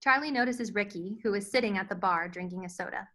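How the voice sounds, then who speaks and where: Bright-voiced woman narrating a screenplay. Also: medium pitch, fast talker. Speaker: stage-direction narrator. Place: remote virtual table read.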